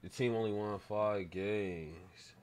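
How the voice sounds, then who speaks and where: A man's voice: on the low side, fairly even intonation, slow, darkish tone. A man in his thirties chatting casually on a live stream as a prank caller. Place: small dim gaming room.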